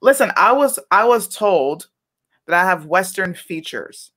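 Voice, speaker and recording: A woman's voice: lowish voice, expressive, a little slow, fairly bright tone. A woman co-hosting a casual political chat, speaking emphatically and conversationally. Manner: emphatic, conversational, leans on "listen" and "okay". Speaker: podcast co-host.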